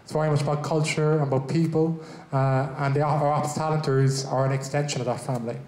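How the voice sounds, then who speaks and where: A man's voice: on the high side, flat delivery, quick pace, darkish tone. Irish man hosting in an echoey hall. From Ireland. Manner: upbeat, loose, with frequent fillers and restarts. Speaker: event host, head of technology. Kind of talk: welcome speech at a tech meetup. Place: large event room with a small stage. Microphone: handheld mic. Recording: echoey event room.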